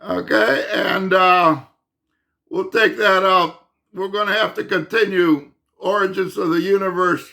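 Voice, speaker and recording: Man, fading hoarse voice, bright tone, slow halting delivery, insists and repeats points. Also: high voice, fairly even intonation. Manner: insistent, emphatic, repeats himself; halting, with many fillers and restarts. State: losing his voice. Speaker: channel host explaining physics. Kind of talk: unscripted monologue to camera.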